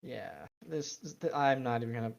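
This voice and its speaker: A man's voice: medium pitch, fairly quick, vocal fry. A man co-hosting a casual fan fiction read-aloud, slipping between mock dramatic reading and sarcastic, slangy asides.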